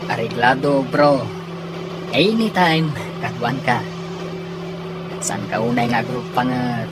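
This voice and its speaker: An actor playing a loyal, supportive buddy in a radio drama, with an Ilocano accent. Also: male voice, mid-range voice, takes it slowly, noisy background, lightly acted. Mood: agreeable, loyal. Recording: loud music and car sound behind.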